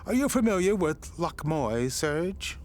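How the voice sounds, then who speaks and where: An actor playing a genial, eccentric older radio station boss in an audio drama, speaking in a courtly, halting, wordy way. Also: male voice, on the high side, big pitch swings, a little mellow. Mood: solemn, courteous.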